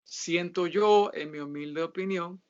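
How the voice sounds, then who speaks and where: A man, a guest author, answering religious questions in a casual interview, talking haltingly with many filler sounds and pauses. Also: high-pitched, a little mellow, smooth, lo-fi sound. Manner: reads scripture aloud in a steadier tone.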